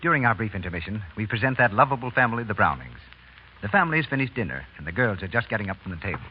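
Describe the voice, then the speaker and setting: A man's voice: on the low side, very animated, rapid-fire, dull audio, slight background noise. A man announcing in scripted 1930s broadcast style on old radio.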